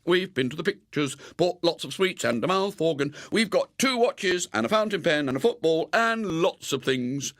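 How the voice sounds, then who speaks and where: A man's voice: on the high side, lively intonation, normal speed, few pauses, fairly bright tone, no echo, voice pushed up. A man voicing gleeful, boastful small British boys with a chanting delivery in a dramatised audiobook.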